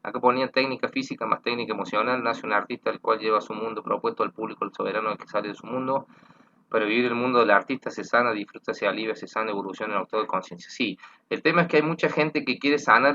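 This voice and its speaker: A man's voice: medium pitch, pushed and bright, muffled recording. An Argentine man, a tango teacher, explaining earnestly and rambling with repeated words. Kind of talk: explanatory talk.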